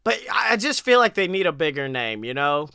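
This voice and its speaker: A young man with a somewhat high, bright voice talks casually as a podcast co-host. His delivery is animated, jokey and profane, and the sound is clean and dry. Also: a little fast, gravelly, smooth, dry recording. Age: young adult. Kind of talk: casual, joking wrestling podcast banter. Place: small room at home, on a video call.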